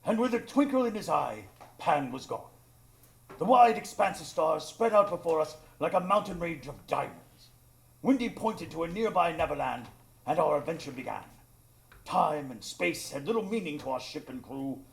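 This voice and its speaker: Male actor as loyal doctor-narrator, radio play: creaky, highish, earnest dramatic storytelling. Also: expressive, moderate pace. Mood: reflective, grand.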